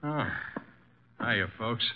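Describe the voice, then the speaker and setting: Surly American radio-drama crook. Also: male voice, medium pitch, very slow. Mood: sarcastic. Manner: deadpan, uneducated tough-guy slang. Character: surly, slangy, sarcastic small-time male crook. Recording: dull vintage radio recording.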